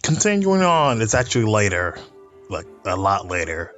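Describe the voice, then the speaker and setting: A man's voice: lowish voice, big pitch swings, normal speed, fairly bright tone. A man reading a visual novel aloud as a let's play host, dropping in informal asides and reactions. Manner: informal asides between read-out lines. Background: game music.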